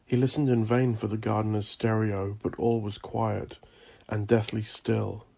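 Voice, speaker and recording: A man reading dark fantasy horror as a scripted story, with a measured, deliberate delivery. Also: fairly low pitch, little pitch movement, creaky. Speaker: audiobook narrator.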